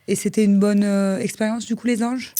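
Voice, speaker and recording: A woman's voice: mid-range voice, little pitch movement, moderate pace, warm tone. A woman hosting a podcast, asking friendly, probing questions in a casual interview. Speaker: podcast host.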